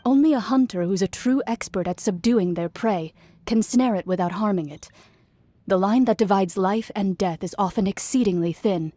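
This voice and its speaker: An actress voicing a calm, exacting young woman in a video game, measured and articulate. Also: mid-range voice, lightly acted. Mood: cool, composed.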